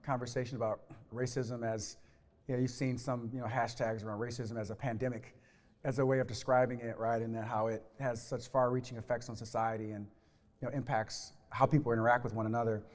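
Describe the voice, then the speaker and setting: Male podcast host, mid-pitched, thoughtful and exploratory, recorded with long room reverb. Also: level tone, fairly quick, a little mellow. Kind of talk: discussion moderating, reflective conversation.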